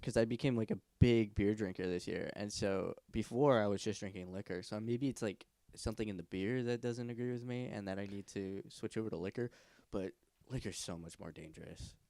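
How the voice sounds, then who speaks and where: A man's voice: on the low side, fairly quick, creaky, warm tone, dry recording. A man in his twenties co-hosting a podcast in casual, rambling chat, with a nasal voice, swearing and laughing often. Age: 20s. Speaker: podcast co-host.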